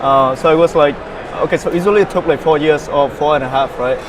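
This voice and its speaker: Halting young man from Vietnam. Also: fairly high pitch, background noise. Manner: halting, many fillers and repeated words. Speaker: startup founder, interview guest. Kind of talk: casual interview answers.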